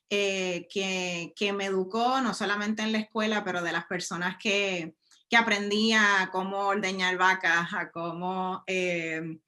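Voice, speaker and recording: A woman's voice: medium pitch, pushed and bright. A woman from Puerto Rico with a Puerto Rican Spanish accent, giving a casual webinar talk over a video call, often restarting her phrases. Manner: conversational, frequent restarts and fillers. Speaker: guest speaker, scientist. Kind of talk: webinar presentation.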